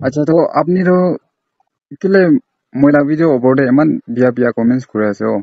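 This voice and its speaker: A man's voice: medium pitch, fairly quick, no echo. A man on a casual, confrontational phone call, scolding and accusing insistently. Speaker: prank caller.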